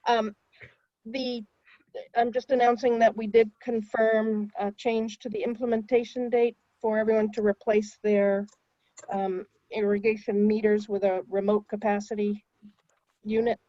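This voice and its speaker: A woman on a video call gives announcements at a town commission meeting, pausing with fillers. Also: medium pitch, level tone, no echo. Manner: hesitant, filler-heavy. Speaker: commission member. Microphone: remote video call. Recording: dull dry call audio.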